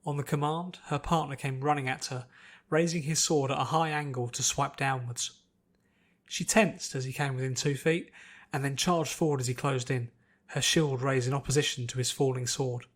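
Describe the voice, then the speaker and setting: A man's voice: mid-range voice, fairly even intonation, moderate pace. A man narrating a scripted fantasy story as an audiobook reader.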